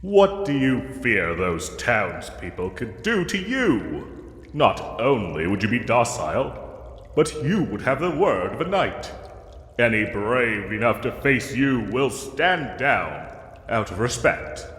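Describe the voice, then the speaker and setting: A man playing a pompous, gallant, condescending yet soft-hearted knight in a fantasy audio roleplay, with formal, archaic, theatrical diction. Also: mid-range voice, big pitch swings, slow pace. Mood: firm, reassuring. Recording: echoey cave-like reverb, music underneath.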